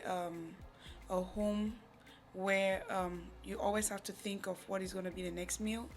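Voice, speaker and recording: A woman from Cameroon with a Cameroonian accent, giving a reflective personal account as a guest, halting and pausing mid-thought. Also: mid-range voice, vocal fry, slight background noise. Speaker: podcast guest, charity representative.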